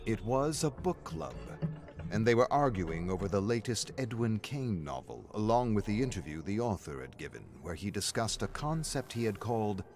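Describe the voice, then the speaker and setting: A man playing a brooding, obsessive scholar who reads his investigation journal aloud in formal, measured, ominous dramatic game narration. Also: on the low side, big pitch swings, music in the background. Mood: measured intrigue.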